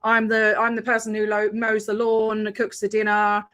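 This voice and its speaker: A woman's voice: medium pitch, completely flat delivery, moderate pace, fairly bright tone. An Australian woman hosting a casual video call chat, talking freely with many fillers and frequent laughs. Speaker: host.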